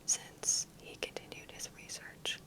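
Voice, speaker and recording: A woman giving ASMR commentary in a soft, breathy, unvoiced whisper, with careful, measured delivery. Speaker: ASMR gaming video creator.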